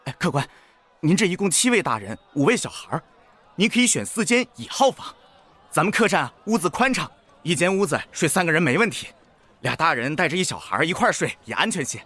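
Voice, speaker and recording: A man's voice: on the high side, sing-song, brisk, bright, forceful tone, heavily acted. A man playing an eager, obliging inn waiter in a Chinese period audio drama, with singsong patter for customers.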